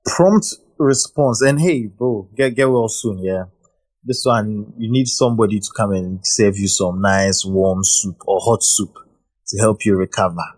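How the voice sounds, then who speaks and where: Chatty guy hosting an online talk, kinda low pitched, repeats himself a lot. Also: measured pace, a little mellow, no echo, poor audio. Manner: friendly, joking, repeats words and restarts. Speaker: host. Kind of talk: casual discussion.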